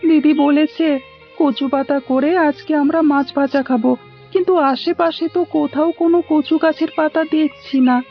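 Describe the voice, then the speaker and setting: A woman's voice: high-pitched, fairly even intonation, normal speed. A woman voicing an eager, obedient little village boy, a younger brother in a cartoon. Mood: puzzled. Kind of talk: cartoon voice acting. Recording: dull lo-fi audio with music.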